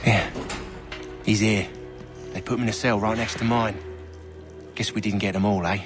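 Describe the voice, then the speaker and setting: An actor playing a brash, rough jailed thug in a video game, with a British accent and coarse, colloquial speech. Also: male voice, lowish voice, steady tone, normal speed, music in the background, natural voice. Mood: cocky.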